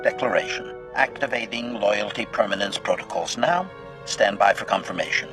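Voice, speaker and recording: A calculating killer droid from a video game, his low voice run through a robotic filter. He speaks in formal, clipped statements, labelling each one, and relishes carnage with deadpan, servile precision. Music plays clearly behind him. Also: moderate pace. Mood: loyal, insistent. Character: cold, calculating male assassin droid, gleefully bloodthirsty, loyal servant. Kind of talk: scripted video game acting. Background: background music.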